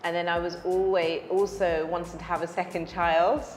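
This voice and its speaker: A woman's voice: on the low side, little pitch movement, normal speed, roomy sound, in a large hall, faint music behind. A woman from the UK, a guest in a casual interview, telling stories in a chatty way with many restarts. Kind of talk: casual chat.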